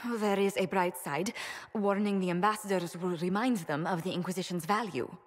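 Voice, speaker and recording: An actress playing a poised court diplomat. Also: female voice, on the low side, a little fast, lightly acted. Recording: game audio, long reverb.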